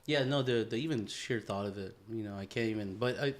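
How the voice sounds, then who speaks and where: A man's voice: lowish voice, fairly quick. A man co-hosting a podcast, talking in a heartfelt, rambling way and swearing casually. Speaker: podcast co-host. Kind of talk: casual chat.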